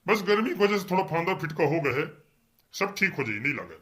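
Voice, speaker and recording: A man's voice: fairly high pitch, very animated, a little fast, fairly bright tone. A man voicing a casual, bumbling village quack doctor in a comedy cartoon, chatty and repeating his reassurances with a Chhattisgarhi accent. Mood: breezy. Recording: noisy track with clicks.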